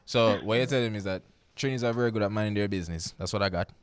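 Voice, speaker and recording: A man's voice: lowish voice, rapid-fire, clean tone, no echo. A man with a Jamaican accent hosting a casual radio talk show, who keeps repeating himself and asking "you understand me?".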